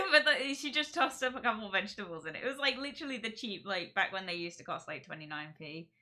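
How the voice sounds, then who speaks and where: Bright, giggly streamer woman. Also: mid-range voice, expressive, fast talker. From Czech. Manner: chatty, giggly, rambling. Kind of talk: casual live-stream chat.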